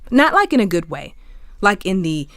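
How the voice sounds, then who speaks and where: A young woman playing a flustered, apologetic, naive young widow in an audio drama, talking in halting, casual modern phrases. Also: medium pitch, sing-song, fairly bright tone, no room sound. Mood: chatty, candid. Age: young adult. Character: nervous, chatty, naive very young widow in 1864. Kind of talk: naturalistic audio drama acting.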